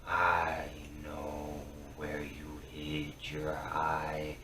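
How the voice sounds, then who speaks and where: Male storyteller for dramatic verse: deep, grave, theatrical, halting delivery, recorded at a distance from the mic. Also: completely flat delivery. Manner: solemn and theatrical, with halting phrases and swings from hushed to booming. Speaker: storyteller reciting Norse myth. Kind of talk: dramatic poetry reading. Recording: roomy, distant mic with faint noise.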